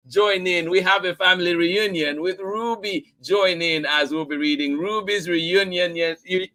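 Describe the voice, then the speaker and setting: A man with a slightly high, bright and forceful voice hosts a live children's story time. He speaks directly to his viewers in an effusive, encouraging way, often repeating words for emphasis. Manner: emphatic repetition of words and phrases. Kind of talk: live-stream sign-off, addressing viewers.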